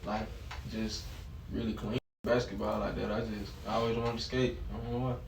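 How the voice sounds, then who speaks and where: A young man tells stories in a loose, slangy way. He talks fast and fairly low. The sound is roomy and distant from the mic, with clear noise behind him. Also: little pitch movement. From East Dublin, southern US. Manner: laid-back slangy storytelling. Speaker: guest rapper and producer. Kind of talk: casual interview chat.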